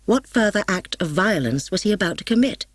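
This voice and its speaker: Husky woman narrating a tragic story. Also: medium pitch, fairly quick, dry recording, clean studio sound. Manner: expressive, solemn. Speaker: audiobook narrator. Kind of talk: dramatic scripted story narration. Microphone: close studio microphone, dry.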